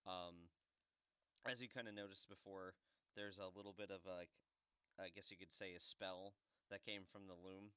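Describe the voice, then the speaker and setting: A man's voice: sits low, fairly even intonation, normal speed, pushed and bright, clean tone, no echo. A man hosting a game playthrough with casual, wry commentary. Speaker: host playing through a game.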